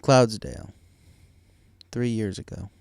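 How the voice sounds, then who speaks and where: A man's voice: fairly low pitch, sing-song, takes it slowly, vocal fry, a little mellow, no echo. A man reading a story aloud as scripted narration. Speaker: fan fiction reader.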